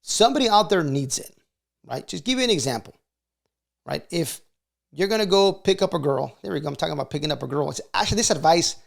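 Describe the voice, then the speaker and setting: A man's voice: a little high, creaky. A middle-aged man giving casual motivational advice as a video host, conversational and often checking in for agreement. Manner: conversational, frequently seeks agreement with short check questions.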